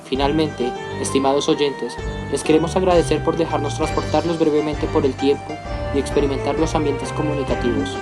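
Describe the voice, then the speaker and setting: A young man narrates a scripted radio piece. His voice sits a little higher than usual for a man. Prominent music plays along with him as he hosts. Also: fairly even intonation, brisk. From Colombia. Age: young adult. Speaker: radio program host and narrator. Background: loud music.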